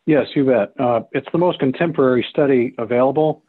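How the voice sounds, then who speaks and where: A man's voice: medium pitch, fairly quick. A man in his fifties giving formal explanatory answers as a panelist, over a narrow, phone-like call. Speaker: technical consultant on a panel. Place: indoors on a video call. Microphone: computer video-call microphone.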